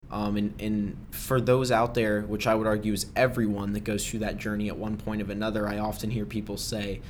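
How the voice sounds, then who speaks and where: A man interviewing a guest, asking long, thoughtful questions with some halting restarts. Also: lowish voice, level tone, slight background noise.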